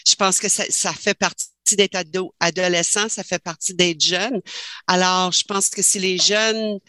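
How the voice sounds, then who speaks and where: A woman's voice: on the low side, steady tone, unhurried, pushed and bright, no room sound. A woman, a panel guest who speaks thoughtfully and repeats words as she thinks. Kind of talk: discussion panel.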